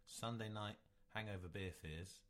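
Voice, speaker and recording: A man with a notably deep, low voice co-hosting a relaxed podcast. He talks in a meandering, hesitant way, restarting his sentences often. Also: measured pace. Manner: rambling, hesitant, with many restarts. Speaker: podcast co-host. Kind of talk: casual chat.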